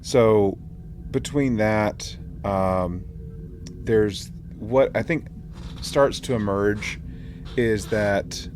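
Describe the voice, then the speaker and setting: Male podcast co-host, low and slow, tentative and halting, noticeable background noise. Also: a little mellow. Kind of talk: casual podcast discussion.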